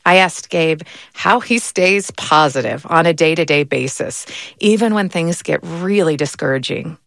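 A woman hosting a podcast, narrating a scripted story in a measured, earnest way. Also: low voice, gravelly, fairly bright tone, dry recording.

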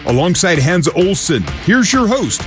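A man's voice: sits high, sing-song, unhurried. A man reads a scripted sponsor and show introduction as a sports broadcast announcer. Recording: music bed under announcement. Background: music.

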